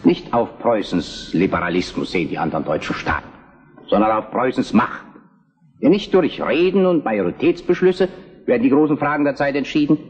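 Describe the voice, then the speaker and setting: A man's voice: mid-range voice, big pitch swings, brisk, lightly acted. An actor playing a resolute, combative Prussian statesman in a historical radio drama, with formal, emphatic oratory. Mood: combative, declamatory. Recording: very noisy archival-sounding radio play.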